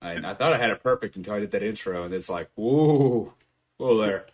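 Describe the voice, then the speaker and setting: A man's voice: on the low side, expressive, fairly quick. A man hosting a casual podcast from home, swearing freely and laughing often. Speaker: podcast host. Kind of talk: casual chat.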